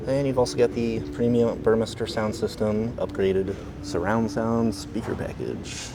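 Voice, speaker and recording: A man, a car salesman, giving a casual walkaround tour of a vehicle at a dealership, talking in a relaxed, plain way with frequent fillers. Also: on the low side, moderate pace, background noise.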